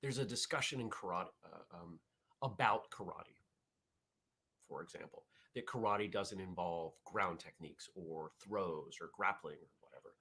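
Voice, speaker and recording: A man's voice: sits low, normal speed, bright, forceful tone, husky. A man hosting a martial arts morning show, chatting loosely with viewers, often restarting sentences and reading their comments aloud. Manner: rambling, self-correcting, reads viewer chat aloud. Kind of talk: casual live-stream chat with viewers.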